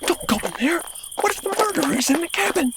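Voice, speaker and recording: A woman's voice: very high voice, big pitch swings, brisk, heavy background noise. A woman playing an exasperated, fussy radio sponsor man, dry and indignant, in a comedy audio drama. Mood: alarmed, testy.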